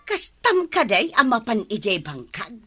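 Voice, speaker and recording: A woman's voice: on the high side, sing-song, measured pace, a bit bright, breathy edge, faint music behind, heavily acted. A woman playing an outspoken village housewife in a radio comedy drama, with an Ilocano accent. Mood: scolding.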